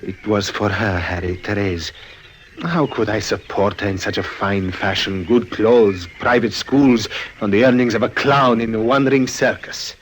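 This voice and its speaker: An actor playing a weary, devoted father with a secret shady life, earnest and halting, in an old-time radio drama. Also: fairly low pitch, slight background noise, natural voice. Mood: earnest, resigned. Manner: earnest, halting, formal phrasing.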